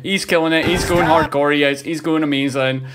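A man's voice: fairly high pitch, steady tone, fairly quick, noisy background. A man in his thirties giving playful, casual reaction commentary, often repeating phrases for emphasis, on a close mic. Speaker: reaction video host. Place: small indoor room, streaming setup. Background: game trailer music.

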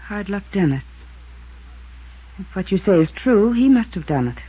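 A woman's voice: medium pitch, animated, normal speed, breathy edge. A woman playing a poised, evasive rancher's widow with an American accent in a 1950s radio drama. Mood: cool. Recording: dull, band-limited 1950s radio recording.

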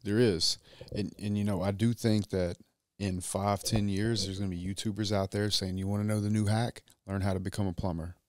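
A man, a business owner talking as a podcast guest, measured and drawn out, louder when he presses a point. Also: lowish voice, level tone, no echo, studio quality. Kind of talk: casual conversation. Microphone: boom mic on stand.

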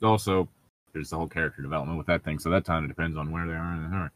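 A man's voice: very deep voice, steady tone, very fast, fairly bright tone. A man co-hosting a podcast in casual banter, with a sardonic, sweary way of talking. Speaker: podcast co-host.

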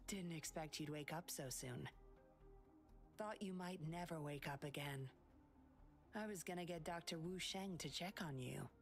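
An actress playing a cool, condescending young femme fatale in a video game, sly and composed. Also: female voice, low-pitched, a little slow, soft background music. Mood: sly teasing.

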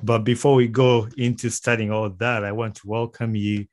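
A man's voice: fairly low pitch, flat delivery, moderate pace, no echo. A man with a Ugandan accent hosting a podcast in a casual, conversational interview style, speaking close to a studio microphone. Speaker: podcast host. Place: small home studio, indoors. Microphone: close podcast microphone on boom arm.

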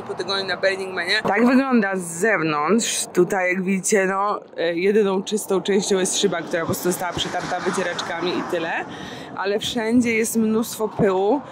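A young woman chatting casually to the camera in an informal, slangy vlog style. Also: medium pitch. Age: young adult. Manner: informal, chatty, with slangy English words dropped in. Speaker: vlogger. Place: in and beside a camper van, outdoors. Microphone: handheld camera at arm's length. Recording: noisy on-location vlog sound.

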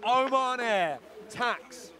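A man giving live play-by-play commentary on a sailing race, brisk and analytical. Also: very high-pitched, lively intonation, sharp tone.

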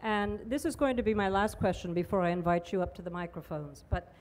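A woman's voice: medium pitch, a little fast, a little mellow. A middle-aged woman moderating an onstage interview, asking long enthusiastic questions and stumbling over her words with "uh" and restarts, into a handheld mic. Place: large outdoor festival stage pavilion.

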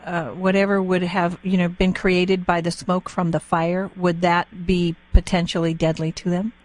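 A woman's voice: fairly low pitch, little pitch movement, moderate pace, darkish tone. A woman hosting a conversational radio interview, questioning in plain words and reacting openly.

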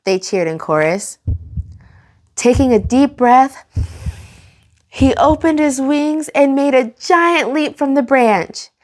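Young female storytime host, expressive and engaging, reading picture books aloud for kids. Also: high-pitched, takes it slowly, long pauses. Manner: expressive, engaging, enunciated for children. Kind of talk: animated read-aloud for children.

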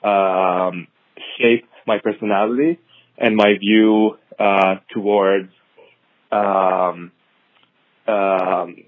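A man in his thirties from Syria, a physician answering interview questions in a measured, thoughtful way with many pauses and ums. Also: lowish voice, fairly even intonation, no room sound. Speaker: hematology-oncology fellow, podcast guest. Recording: tinny phone-like audio.

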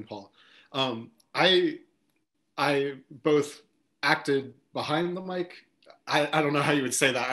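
A man's voice: mid-range voice, a bit bright. A man in his twenties casually asking questions as a student panelist, informal and a bit tentative. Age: 20s. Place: room at home, on a video call.

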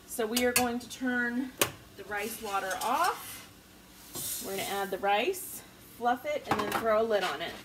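A woman's voice: a little high, measured pace, heavy background noise. Chatty mom cooking in her kitchen. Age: 40s. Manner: chatty, easygoing, laughs at herself. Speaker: stay-at-home mom vlogger. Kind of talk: casual cook-along chat. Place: home kitchen, indoors. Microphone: camera on the counter, low angle. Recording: distant mic with loud kitchen clatter. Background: pans sizzling and cutlery clinking.

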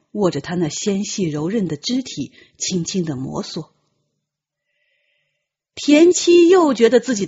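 A woman reads a novel as a dramatic audiobook. Her voice is very husky and breathy, at a middle pitch with animated movement. The recording is slightly dull. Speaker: audiobook narrator. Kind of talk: dramatic scripted storytelling.

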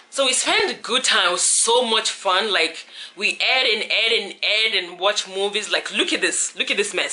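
A woman's voice: mid-range voice, pushed and bright. A tired young woman talking to her camera from her couch late at night, chatty and rambling as she wraps up her vlog. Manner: chatty, rambling, lots of "like" and "you feel me". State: tired, voice deeper than usual. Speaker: vlogger. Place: living room couch at night. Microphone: camera held at arm's length.

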